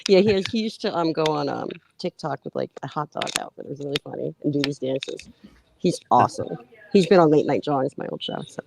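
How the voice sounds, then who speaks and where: A woman's voice: sits low, fast, gravelly. A woman guest on a livestream chat, talking in a chatty, giggly way. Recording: noisy mic.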